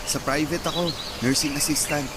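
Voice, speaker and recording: A man's voice: on the high side, steady tone, moderate pace, very noisy, close to an everyday voice. An actor playing a friendly male nurse in his thirties in casual radio drama dialogue. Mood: friendly, relaxed. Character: friendly nurse man in his thirties, reuniting with old sweetheart. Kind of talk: Tagalog radio drama acting. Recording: loud music bed behind.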